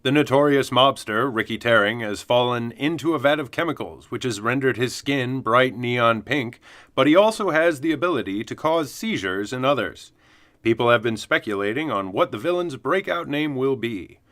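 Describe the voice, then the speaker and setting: A man playing an earnest, opinionated news anchor in a comic audio drama, presenting in a polished deadpan. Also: medium pitch, moderate pace, natural voice. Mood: announcing.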